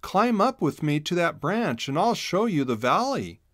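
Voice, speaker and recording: A man's voice: fairly high pitch, very animated, normal speed, a bit bright, no room sound, studio quality, pitched above the performer's own voice. A man voicing a curious young hedgehog boy in a children's storybook, speaking in an earnest, emphatic way. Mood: eager. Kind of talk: children's storybook read-aloud.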